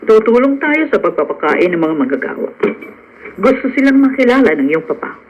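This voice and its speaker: A woman's voice: a little high, big pitch swings, lo-fi sound. A woman playing a commanding middle-aged hacienda matriarch in a Filipino radio drama. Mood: brisk, instructing. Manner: brisk, commanding. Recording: radio broadcast with faint noise.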